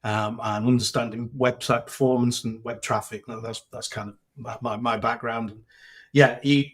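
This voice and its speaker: Halting, casual podcast host, man. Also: mid-range voice, fairly even intonation, fairly bright tone, breathy edge. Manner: casual, halting, repeats words. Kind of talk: podcast interview.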